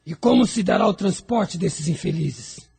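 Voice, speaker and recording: A man playing a proud, resentful dark spirit lord in an audio drama, raspy, gravelly and sardonic. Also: high-pitched, lively intonation, moderate pace, faint music behind, heavily acted. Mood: defiant, sardonic.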